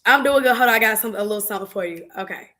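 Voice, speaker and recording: Bright-voiced young Atlanta woman, chatty. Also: fairly high pitch, rapid-fire. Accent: Atlanta, Southern US. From Georgia, United States. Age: young adult. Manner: playful, chatty, addresses the audience familiarly. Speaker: interview guest, school counselor. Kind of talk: casual chat introducing herself.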